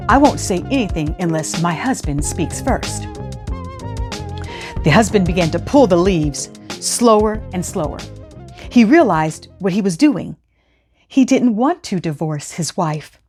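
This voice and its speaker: A woman hosting a children's storytime, speaking in an expressive, teacherly way as she reads and explains. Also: on the low side, measured pace, music in the background. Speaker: host of a children's read-aloud storytime show. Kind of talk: expressive storybook reading with scripted instructions.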